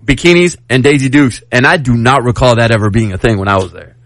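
A man's voice: medium pitch, fast talker, a crowd in the background. A man in his forties co-hosting a radio talk show, telling stories in a laid-back way and laughing often. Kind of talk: casual morning-show banter.

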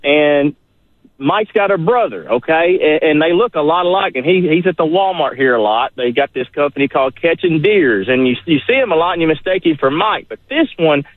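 A man's voice: fairly high pitch, expressive, a little fast, no echo. A man telling stories casually in a folksy, colloquial way as a caller to a radio show. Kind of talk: casual storytelling. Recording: tinny phone line.

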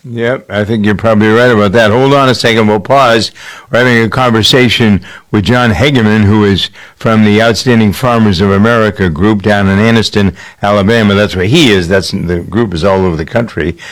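A man's voice: on the low side, steady tone, a little fast, low-quality recording. A man hosting a radio farm program, interviewing a guest in a conversational, probing way. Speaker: radio show host.

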